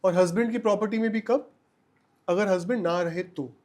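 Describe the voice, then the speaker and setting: High-voiced man explaining, emphatic. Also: normal speed, a little mellow. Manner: emphatic, repeats points for clarity, mixes in English terms. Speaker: legal expert guest. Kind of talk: explanatory podcast interview answers.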